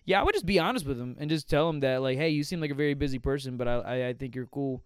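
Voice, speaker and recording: A man co-hosting a casual advice podcast, talking in a rambling, halting way full of fillers and jokes. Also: medium pitch, clear, resonant tone, no room sound, studio quality. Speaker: podcast co-host.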